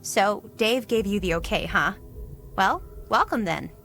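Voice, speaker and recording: Earnest, welcoming small-museum curator for a game; mid-range voice, faint music underneath. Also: female voice, medium pitch, lively intonation, measured pace, fairly bright tone. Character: friendly, proud woman running a small museum. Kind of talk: video game character dialogue.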